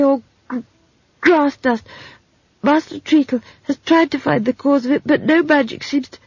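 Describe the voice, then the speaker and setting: A woman's voice: high-pitched, creaky, darkish tone, no room sound, dull audio, voice pushed up, full character voice. A woman voicing an earnest, dreamy young wizard's apprentice who stutters on consonants and gulps for air, in an audiobook. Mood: apologetic, glum. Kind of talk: audiobook character voice.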